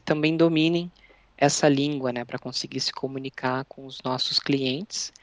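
A young man, a podcast guest, explaining his work in an earnest, measured way with frequent fillers. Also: medium pitch, no echo. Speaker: podcast guest, employee network leader.

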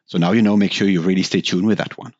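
A man hosting a corporate tech podcast, asking questions in a formal, slightly halting way. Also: deep voice, fairly even intonation, a little mellow, no room sound, highs cut off. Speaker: podcast host.

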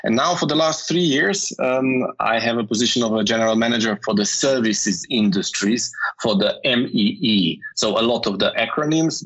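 A man's voice: medium pitch, fairly bright tone, lo-fi sound. A man from Slovenia being interviewed, talking through his career with many fillers and restarts. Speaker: guest, telecom industry manager. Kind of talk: conversational interview.

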